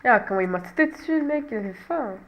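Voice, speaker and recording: A woman's voice: on the high side, animated, fast, muffled recording, slight background noise. Young woman with a stuffy nose. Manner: chatty, self-mocking, laughs often. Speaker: gaming channel host. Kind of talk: casual gameplay commentary.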